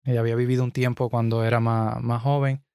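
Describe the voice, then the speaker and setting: A man's voice: mid-range voice, level tone, a little fast, darkish tone, clean tone, no echo, clean studio sound. A young man from Puerto Rico with a Puerto Rican Spanish accent, speaking earnestly on a casual podcast. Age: young adult. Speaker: podcast co-host.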